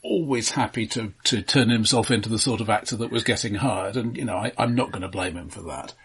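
Husky-voiced man in casual film chat. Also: lowish voice, a little fast, a bit bright. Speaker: film podcast co-host.

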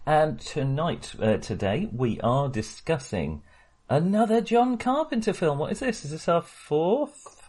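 A man's voice: fairly high pitch, animated, takes it slowly, darkish tone. A man co-hosting a casual film discussion, talking in a hesitant, wry and opinionated way. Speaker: podcast co-host.

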